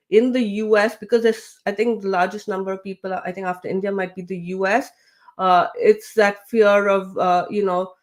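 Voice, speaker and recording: A woman speaking as an interview guest, loose and conversational, pausing on fillers and restarting her words. Her voice sits at an ordinary middle pitch. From grew up with British English. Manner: chatty, many fillers and restarts. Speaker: guest, LinkedIn trainer and coach. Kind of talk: casual interview talk.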